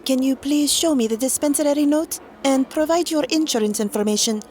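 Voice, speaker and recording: A woman's voice: high-pitched, a little fast, darkish tone, a little hiss or hum, lightly acted. An actress playing a tired young woman clerking at an apothecary counter, polite, hesitant and apologetic.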